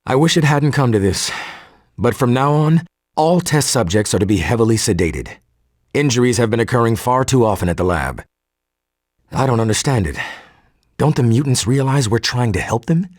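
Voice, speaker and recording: An actor playing a formal, articulate male geneticist recording an audio log for a game, measured and husky. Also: mid-range voice, animated, a little mellow, no echo, studio quality, lightly acted. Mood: resigned, wary. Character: earnest male geneticist turning cold and militant.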